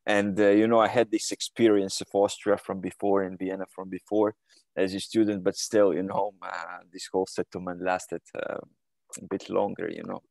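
Croatian-born guy in his thirties, deep gravelly voice, lots of uhs, video call from home, dry sound. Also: flat delivery, moderate pace, darkish tone, no echo. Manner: hesitant, many fillers and restarts. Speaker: guest. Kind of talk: casual interview answers. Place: home room with bookshelves.

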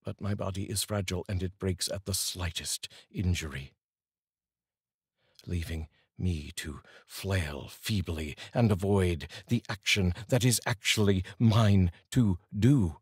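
Deep, very husky middle-aged man. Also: unhurried, no echo, studio quality. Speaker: host and voice-over reader. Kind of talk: solemn, measured poetry reading and personal address to viewers. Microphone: large studio microphone, up close.

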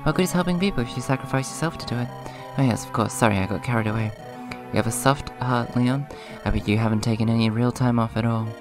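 A man with a hoarse voice casually hosting a let's play, rambling a little and reading game dialogue aloud in a subdued way. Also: medium pitch, fast talker. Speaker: gaming video host. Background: video game music.

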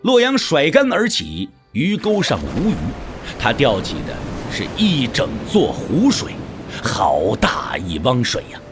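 Guy narrating a martial arts audio drama, husky, super animated, music underneath. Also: mid-range voice, unhurried.

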